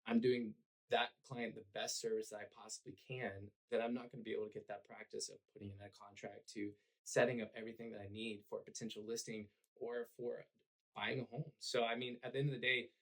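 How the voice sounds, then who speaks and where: A man, hoarse and raspy from cheering, talking earnestly about his work as a podcast guest with lots of fillers. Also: lowish voice, level tone, quick pace, rough recording. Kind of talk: conversational podcast interview.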